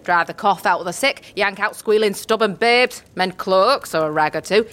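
A woman's voice: medium pitch, normal speed, fairly bright tone, lightly acted. A woman playing a sly, cackling old village midwife. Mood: boastful. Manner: folksy archaic speech, spits and scolds. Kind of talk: audio drama acting.

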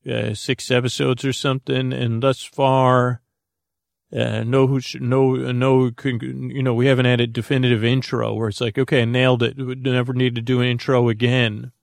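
A man hosting a sleep podcast, rambling in a halting, meandering, goofy way with many tangents and false starts. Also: mid-range voice, level tone, gravelly, dry recording, studio quality. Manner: goofy, whimsical. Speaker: podcast host.

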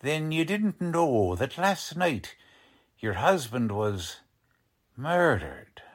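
An actor playing a gruff, sarcastic, tough police lieutenant with an American accent in 1940s radio style, blunt and slangy. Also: male voice, on the high side, sing-song, slow pace, full character voice. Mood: probing.

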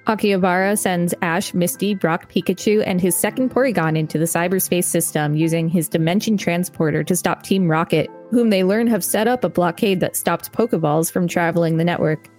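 Young female podcast co-host, chatty reader, low voice, faint music. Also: fairly quick, few pauses, darkish tone. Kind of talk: reading aloud with casual commentary.

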